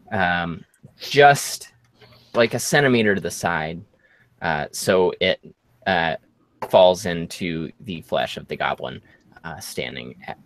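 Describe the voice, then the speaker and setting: A man running a tabletop game, improvising narration as game master in a halting, drawn-out way. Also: deep voice, a bit bright, no echo. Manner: halting, drawn-out storytelling delivery.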